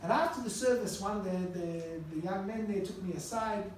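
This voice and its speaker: A man's voice: high voice, fairly quick. A middle-aged man from Australia, a rabbi giving a conversational classroom lecture and telling stories with asides to his students. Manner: relaxed storytelling with asides to the students. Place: classroom with a live audience. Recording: echoey distant classroom recording with faint noise.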